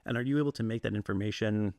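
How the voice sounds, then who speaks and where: A man's voice: fairly low pitch, racing, a little mellow, no room sound, studio quality. A man hosting a local interview, asking casual questions in an easygoing manner. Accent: Canadian English. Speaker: interviewer, channel host.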